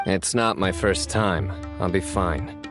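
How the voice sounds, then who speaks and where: A man voicing a stern, reserved young desert lawman in a video game, terse and measured, with music behind. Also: sits low. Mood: resolute.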